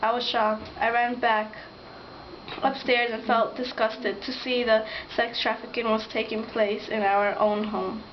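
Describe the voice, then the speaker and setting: A woman's voice: a little high, flat delivery, a little slow, natural voice. A woman acting a criminal's resigned wife, reciting a stiff confessional monologue in a student drama. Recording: dull, noisy lo-fi recording.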